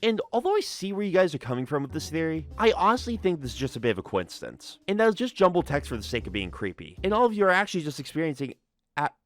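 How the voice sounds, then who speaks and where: A man's voice: a little high, very animated, fast talker, dry recording, faint music behind. A man narrating a scripted video analysis with casual asides, in an emphatic, conversational and informal way. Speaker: video essay host and narrator.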